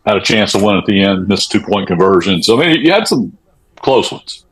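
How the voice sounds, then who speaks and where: A man on a sports podcast panel giving casual, analytical commentary in a measured way. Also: fairly low pitch, lively intonation, a bit bright, husky, low-quality recording.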